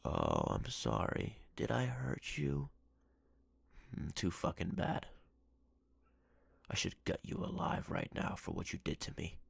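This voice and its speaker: A man playing a menacing, possessive yandere ex-boyfriend in an ASMR roleplay, with a gravelly, breathy voice and a deliberate, threatening delivery. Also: low voice, dry recording, full character voice. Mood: mocking menace. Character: menacing obsessive yandere ex-boyfriend, a jealous captor.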